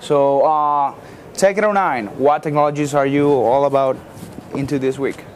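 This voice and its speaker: A man's voice: on the high side, steady tone, moderate pace, slight background noise. A man from Venezuela interviewing a fellow attendee at a conference, with brief encouraging interjections. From Valencia, Venezuela. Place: busy conference venue.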